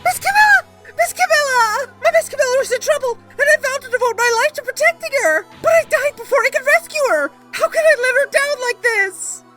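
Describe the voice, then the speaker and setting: A woman voicing an excitable, earnest little Pomeranian spirit, fiercely loyal, in a game fandub. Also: very high voice, a little fast, faint music behind, exaggerated voice, low-quality recording. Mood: frantic, distraught. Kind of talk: animated game fandub acting.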